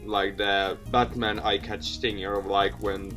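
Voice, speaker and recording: A man's voice: fairly low pitch, little pitch movement, takes it slowly, a bit bright, faint music behind. A man doing a let's play, reading a visual novel aloud in a theatrical, jokey way and interjecting his own comments. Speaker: video game let's player.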